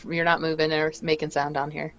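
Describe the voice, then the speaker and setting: A woman's voice: deep voice, little pitch movement, brisk, a bit bright, lo-fi sound. A woman chatting casually and playfully as a livestream cast member. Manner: playful, teasing. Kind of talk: casual joking chat.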